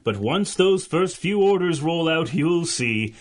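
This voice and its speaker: A smooth-talking small-time swindler in a thirties radio play. His voice sits fairly high and swings freely, with folksy, persuasive patter. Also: expressive, unhurried. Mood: earnest, persuasive. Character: smooth-talking, folksy male swindler posing as factory boss. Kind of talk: 1930s radio drama acting.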